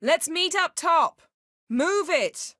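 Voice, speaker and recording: A woman playing a haughty, sharp-tongued sorceress in a video game, speaking formally and imperiously with cutting poise. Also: very high voice, expressive, takes it slowly, no room sound, clean studio sound, full character voice. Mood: urgent, straining. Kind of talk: video game character acting.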